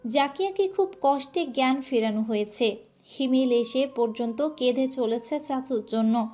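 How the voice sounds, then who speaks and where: A woman's voice: high-pitched, moderate pace, warm tone. A woman narrating a romantic family story in an emotional, earnest style.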